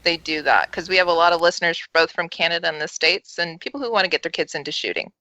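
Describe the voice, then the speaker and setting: A woman's voice: lowish voice, quick pace, bright, forceful tone, dry recording, dull audio. A woman co-hosting a conversational radio interview, asking guests questions. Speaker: podcast co-host and interviewer.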